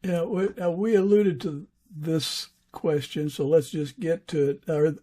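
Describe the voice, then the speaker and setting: Mellow, higher-pitched man hosting an interview. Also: fairly even intonation, a little slow. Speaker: podcast host interviewing a guest. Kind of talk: casual interview chat.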